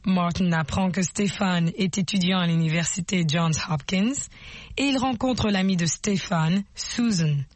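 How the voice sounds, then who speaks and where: A woman's voice: on the low side, no echo. Woman narrating a language course. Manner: slow, clear, explaining. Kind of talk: scripted instructional narration.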